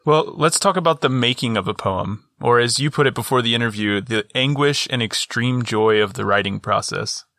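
A man who writes fiction, interviewing a poet and asking measured, conversational questions. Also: medium pitch, fairly bright tone, no echo, clean studio sound.

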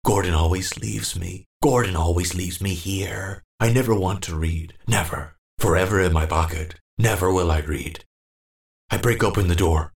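A man's voice: deep voice, moderate pace, vocal fry. A man voicing a frightened, confused old man in a horror audiobook, muttering and repeating himself in first person. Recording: roomy, distant echo.

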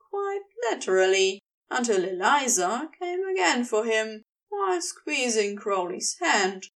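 Slow, creaky-voiced woman reading fiction. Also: on the high side, fairly bright tone, far from the mic, poor audio. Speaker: podfic reader. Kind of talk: expressive narration of fiction.

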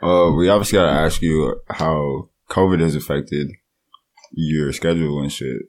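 A man's voice: a bass voice, steady tone, moderate pace, darkish tone. A man hosting a casual podcast, laid back, tossing in short reactions and swearing. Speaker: podcast host. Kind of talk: casual chat.

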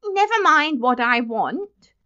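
A woman voicing an indignant, haughty old man in a boy's body, with a shrill treble, in an audiobook. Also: very animated, normal speed, sharp tone, dull audio, full character voice. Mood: angry, defiant. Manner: haughty, commanding. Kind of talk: audiobook character acting.

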